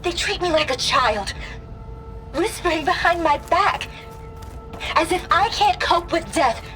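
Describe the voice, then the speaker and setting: A high-pitched, uneasy teenage girl reads out her diary in a video game. She sounds confiding and disillusioned, with a sardonic edge. Faint music sits underneath. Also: animated, unhurried, bright, forceful tone, heavily acted, rough recording. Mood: hurt, confiding. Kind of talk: game audio diary narration.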